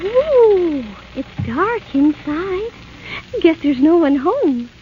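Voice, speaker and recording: Super high lilting girlish princess, wide-eyed n sweet, old muffled radio play. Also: sing-song, extremely slow, slight background noise, exaggerated voice. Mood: delighted, curious. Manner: wide-eyed, childlike, theatrical exclamations. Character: sweet, innocent, girlish fairy-tale princess. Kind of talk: 1930s radio acting. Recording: muffled 1938 radio recording with music.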